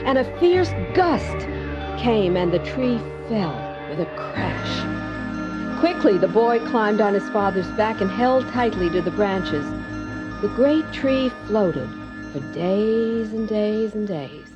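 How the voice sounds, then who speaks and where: A woman narrating a children's bedtime fable with gentle, deliberate, dramatic phrasing. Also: medium pitch, lively intonation. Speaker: storyteller. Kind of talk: expressive scripted bedtime-story narration. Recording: dull digitized cassette, music behind.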